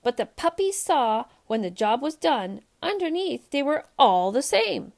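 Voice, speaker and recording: A woman who hosts a children's read-aloud show, narrating a storybook in an expressive, emphatic way for young listeners. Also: high-pitched, measured pace, creaky.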